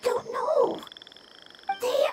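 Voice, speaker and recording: A woman voicing a small, worried spirit creature in a video game, speaking in halting, broken phrases. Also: extremely high pitch, very noisy, full character voice. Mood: anxious, earnest. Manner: halting, fragmented short phrases. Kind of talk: video game character acting.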